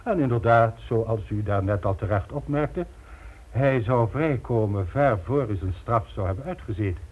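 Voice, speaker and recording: An actor in a radio play voicing a suave, ruthless criminal mastermind, smug and polished, coolly condescending. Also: male voice, mid-range voice, moderate pace, natural voice. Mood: coldly menacing. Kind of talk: Dutch radio play acting. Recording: dull lo-fi old radio recording.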